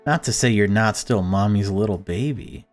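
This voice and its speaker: A man's voice: lowish voice, normal speed, faint music behind, natural voice. A man voicing a deluded, self-pitying mother who dotes and snaps, reading visual novel dialogue aloud. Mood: doting, self-pitying.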